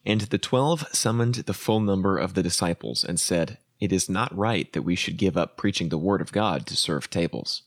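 Deep-voiced man reading scripture over a call. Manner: reads slowly and evenly, brief low responses. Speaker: podcast co-host reading scripture. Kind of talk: scripture reading and casual chat. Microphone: video call.

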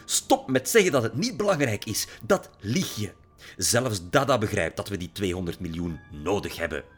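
A man voicing an ambitious, furious teenage girl influencer in an audiobook, shrill and bursting. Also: big pitch swings, normal speed, slightly rough, soft background music. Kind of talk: audiobook character voice.

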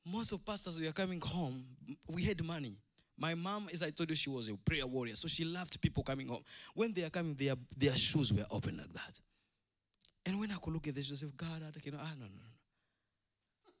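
Preacher, high animated voice. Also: male voice, fairly quick. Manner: emphatic, repetitive, swinging between quiet asides and loud declamation. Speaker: pastor, preacher. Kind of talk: impassioned sermon.

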